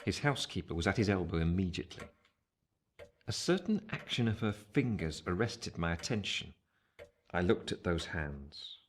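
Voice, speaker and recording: An earnest gentleman tells his own story in a radio drama. His voice is deep, with a measured, literary, reflective delivery. A little noise sits behind it. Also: expressive. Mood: intent, grave. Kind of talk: scripted first-person radio play narration.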